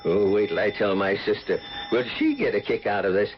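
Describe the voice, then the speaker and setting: An actor playing a nosy, folksy neighbourhood dog walker in a radio drama, chatty and indignant in plain working-class speech. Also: male voice, fairly low pitch, a little fast, lightly acted. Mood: gleefully nosy. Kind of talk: old-time radio drama acting. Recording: muffled, noisy old radio broadcast.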